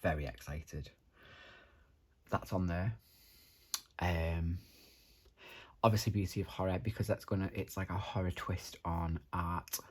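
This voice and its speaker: Young man, low-pitched and husky, croaky from a night out, chatty colouring-channel host. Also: a little slow, long pauses. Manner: chatty, rambling with many "um"s. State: tired, croaky after a night out. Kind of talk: casual chat while colouring.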